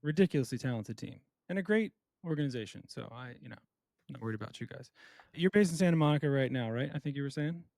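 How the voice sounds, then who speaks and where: A man hosting a podcast, chatting in a quick, upbeat and friendly way with his guest. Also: mid-range voice, animated, creaky, a little mellow, dry recording. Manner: fast, upbeat, friendly banter. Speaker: podcast host. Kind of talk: casual interview.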